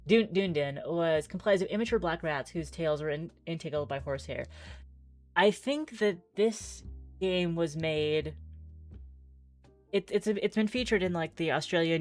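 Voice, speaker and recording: Low-voiced woman streaming a visual novel. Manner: theatrical reading mixed with chatty asides. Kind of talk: reading game text aloud with casual live commentary.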